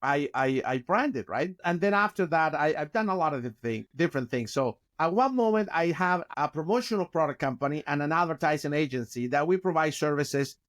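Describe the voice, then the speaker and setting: Man, Chilean accent, middle-aged, slightly high voice, animated storyteller, video call. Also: a little fast, hardly any pauses. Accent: Chilean Spanish. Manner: animated, gesturing with hands, frequent restarts. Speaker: guest, company founder. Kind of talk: casual interview storytelling. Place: office with bookshelves, indoors.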